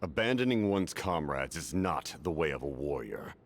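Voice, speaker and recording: Stern war commander for a game: extremely low voice, lively intonation, honorable and grave. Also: male voice, moderate pace, slight background noise. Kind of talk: dramatic video game acting.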